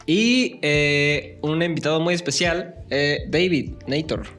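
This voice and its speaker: A man's voice: on the high side, slow pace, over music. A man with a Mexican accent hosting a podcast in an informal, hesitant chatty way. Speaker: podcast host. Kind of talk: casual chat.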